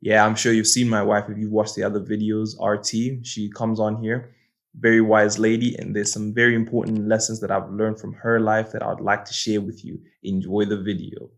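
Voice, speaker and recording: A young man from Malawi speaks in a low, fairly flat voice. He talks earnestly and reflectively, like a host sharing personal lessons, and he often circles back over his words as he thinks. Overall the tone stays even and steady. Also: normal speed. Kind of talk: casual reflective monologue.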